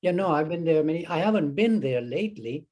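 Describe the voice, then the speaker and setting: Older Chilean-accented man, Zen teacher guest; unhurried, rambling answers full of restarts. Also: on the high side, lively intonation, darkish tone. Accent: Chilean Spanish. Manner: rambling, halting, many restarts and fillers. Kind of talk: casual interview conversation.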